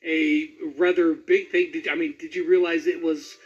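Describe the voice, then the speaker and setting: A man's voice: fairly high pitch, level tone, moderate pace, lo-fi sound, soft background music. A man hosting a casual interview, putting questions to his guest in a chatty way. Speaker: interviewer, host.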